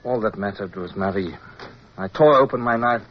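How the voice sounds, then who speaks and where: A man's voice: on the low side, moderate pace, natural voice. An actor playing a resolute British naval officer in a radio drama, who commands and narrates his own adventure. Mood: grave narration. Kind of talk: radio drama acting and first-person narration. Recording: dull, noisy old radio recording.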